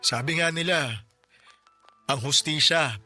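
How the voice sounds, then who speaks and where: A man's voice: on the high side, bright, forceful tone, soft background music, heavily acted. A man playing a bitter, grieving Filipino widower. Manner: weary, bitter, speaks slowly when stressing words. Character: grieving poor widower, tricycle driver, bitter about justice. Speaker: voice actor in radio drama. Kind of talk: Tagalog radio drama acting.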